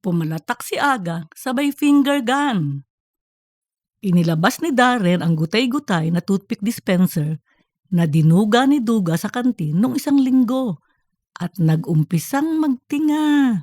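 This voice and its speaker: A woman narrates a scripted comic story in audiobook fashion. Her voice is a little higher than average, and the recording is dry, with no room around it. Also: lively intonation, unhurried, a little mellow, no echo.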